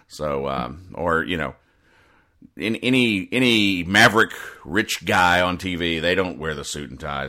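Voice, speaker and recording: A man hosting a casual solo podcast, explaining things in a rambling, halting, deadpan way. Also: a bass voice. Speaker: podcast host. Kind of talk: casual solo commentary, explaining comic strips.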